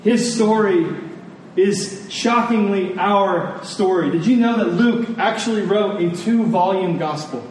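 Male pastor, slightly high voice, earnest conversational sermon delivery with emphatic pauses, in a very echoey room. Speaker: pastor preaching. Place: large room, preaching to a congregation. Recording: echoey room, distant mic, faint noise.

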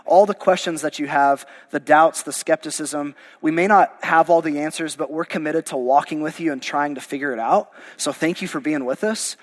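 A man's voice: fairly high pitch, moderate pace, hardly any pauses, long reverb tail. A male pastor, losing his voice, preaching a verse-by-verse sermon in a conversational, informal way. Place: church sanctuary.

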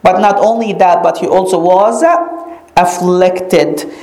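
A woman whose first language is Arabic, teaching a sermon on a Bible passage and stressing key phrases with slow, dramatic repetition. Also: on the low side, dark tone. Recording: echoey room.

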